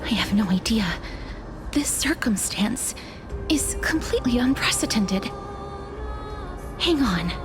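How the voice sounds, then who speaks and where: Soft-spoken young guide of souls, formal, solemn, slow delivery, game dialogue over music. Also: female voice, fairly high pitch, animated. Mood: pensive. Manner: soft, measured, formal, breathy phrase endings. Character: gentle young woman who guides souls, solemn and polite. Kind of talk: video game voice acting. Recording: background music under the voice.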